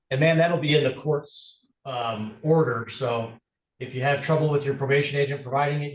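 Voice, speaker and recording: A middle-aged man presiding as judge, talking procedurally in a courtroom on a video call. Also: medium pitch. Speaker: judge presiding over a family court hearing. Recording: roomy, distant courtroom microphone on video call.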